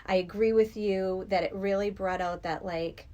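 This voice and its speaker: A woman's voice: medium pitch, fairly even intonation, moderate pace, dark tone. A middle-aged woman from Massachusetts, a podcast guest chatting casually and enthusiastically about movies. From Massachusetts, United States.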